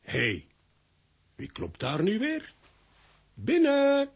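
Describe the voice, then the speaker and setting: A man acting a good-natured, excitable, bumbling character in a children's comic-strip radio play, with exclamatory, theatrical delivery. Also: high voice, slow, husky, exaggerated voice. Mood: dazed, surprised.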